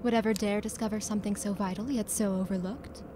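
A woman's voice: medium pitch, fairly even intonation, fast, lightly acted. A woman playing a cruel, taunting muse, a writer's imagined creation, in a theatrical audio drama style. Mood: eerie, mocking. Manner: theatrical, mocking. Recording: loud noisy background.

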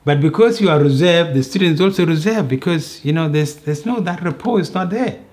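A man's voice: fairly high pitch, animated, darkish tone. Middle-aged man explaining patiently. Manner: measured, explains with examples. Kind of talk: explanatory interview talk. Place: indoor sitting room.

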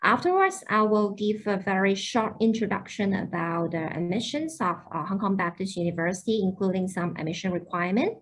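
A woman's voice: medium pitch, big pitch swings, fairly quick, few pauses. A young woman hosting a university admissions webinar over a video call, explaining programmes with frequent fillers.

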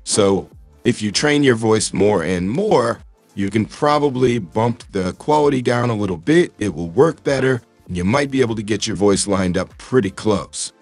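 A man's voice: lowish voice, expressive, moderate pace, no room sound, faint music behind. A man speaking through an AI voice changer as an intense American film actor, demonstrating in tutorial style. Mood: explanatory.

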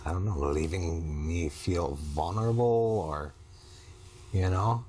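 A middle-aged man, talking haltingly and briefly about his relationship as a therapy client. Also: deep voice, dark tone, breathy edge. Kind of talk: halting personal conversation. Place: studio set on a sofa.

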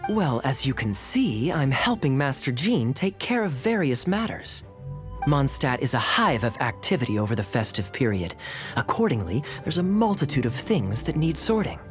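A man plays a suave officer in a game, smooth-talking and wry. His mid-range voice moves up and down freely through formal, playful phrases. Soft music sits behind him. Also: mid-range voice, very animated, normal speed, close to an everyday voice. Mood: composed, persuasive. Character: suave, unhurried male officer with playful charm. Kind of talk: video game voice acting. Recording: music over the dialogue.

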